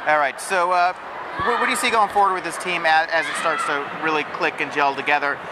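Thirtyish male sideline reporter, fast halting questions, lapel mic, crowd noise behind. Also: on the high side, fairly even intonation. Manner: halting questions with repeated starts. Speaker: sports reporter. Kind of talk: postgame interview. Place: indoor arena floor, crowd around. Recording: noisy arena with crowd.

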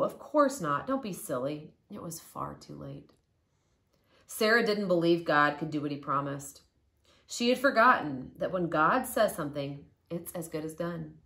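A woman's voice: fairly low pitch, fairly bright tone. A middle-aged woman reading a children's story aloud expressively. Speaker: storybook reader. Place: living room, indoors. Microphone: camera or phone a short distance away.

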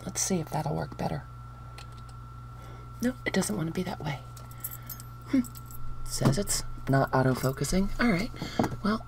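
A woman's voice: low-pitched, slight background noise. A woman hosting a casual craft tutorial, chatting upbeat with viewers while showing her dyed papers at a table. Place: indoors at a wooden craft table.

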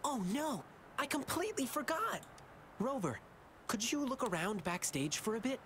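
A man's voice: very high voice, big pitch swings, moderate pace, bright, forceful tone, exaggerated voice. An earnest young lion dancer boy from a video game, kind and eager to help. His delivery swings with lots of melody, encouraging and polite, over a faint layer of noise. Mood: flustered. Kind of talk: English game voice acting.

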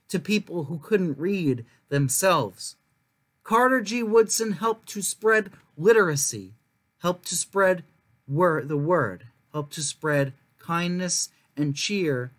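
A young adult man reading aloud as a children's storytime host, with careful, emphatic delivery. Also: high voice. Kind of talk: reading a picture book aloud to viewers.

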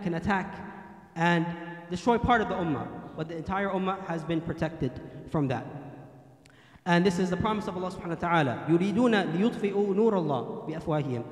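A young man delivers a Friday sermon from a mic on a stand, reciting Arabic and then explaining it in English. His voice sits high and moves fast. The hall around him is roomy and echoing. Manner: quotes Arabic hadith then translates into English. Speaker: imam. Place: mosque hall, indoors. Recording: echoey hall.

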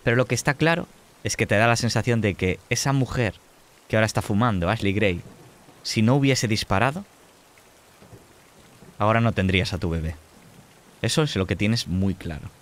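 Low-voiced Spanish man as game master. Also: lively intonation, normal speed, long pauses. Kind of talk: narrating a tabletop game.

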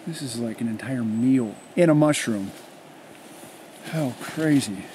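A man in his thirties hosting a vlog outdoors in a rainy forest, talking casually and reacting with excitement as he films himself up close. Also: mid-range voice, unhurried, a little hiss or hum, low-quality recording. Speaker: channel host. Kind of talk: casual vlog chat and voice-over. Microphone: handheld camera close to the face.

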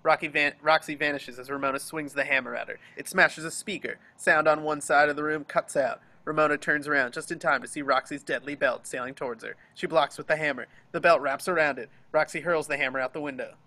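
Bright, forceful man narrating a script. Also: on the high side, fairly even intonation, brisk, hardly any pauses. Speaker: stage-direction narrator. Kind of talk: scripted narration, dramatic table read. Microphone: video call.

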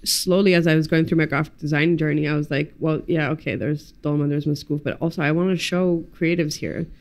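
A woman, a graphic designer chatting as a podcast guest, animated and loose, swearing and dropping Arabic words into her English. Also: deep voice, brisk. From United States. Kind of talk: casual interview chat. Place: studio room with pinned boards. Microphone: desk mic on stand, headphones.

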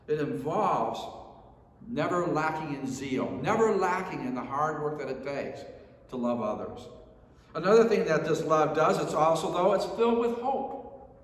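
A man's voice: fairly high pitch, a bit bright. A middle-aged man with an American accent preaching a sermon as a pastor, earnest and emphatic, repeating phrases for stress. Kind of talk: sermon with scripture reading. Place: church sanctuary, standing at a lectern. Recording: very echoey church hall.

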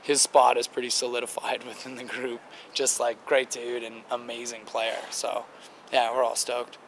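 A young man with a gravelly, slightly strained voice chats easily, filling his answers with "like". He sits at an outdoor table by a river, and faint traffic hums behind him. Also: medium pitch, moderate pace, rough recording. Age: 20s. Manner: relaxed, chatty, many "like" fillers. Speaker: band singer. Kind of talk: casual interview answers. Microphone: handheld interviewer mic near the table.

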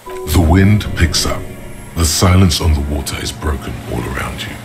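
An actor playing a grave, observant inner-voice narrator in a video game, speaking in measured, portentous literary prose. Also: male voice, extremely low pitch, animated, vocal fry, music in the background, full character voice.

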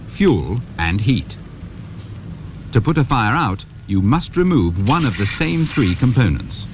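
A British man in his fifties presenting a scripted instructional training film in a measured, instructive manner. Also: medium pitch. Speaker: presenter and narrator of a fire safety training film. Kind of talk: scripted instructional narration to viewer. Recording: muffled, noisy 1980s 16mm film soundtrack.

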